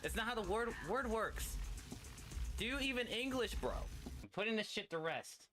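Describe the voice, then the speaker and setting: A man's voice: high-pitched, lively intonation, moderate pace, music in the background. A man in casual gaming banter, opinionated and slangy, swearing freely as he makes his point. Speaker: streamer.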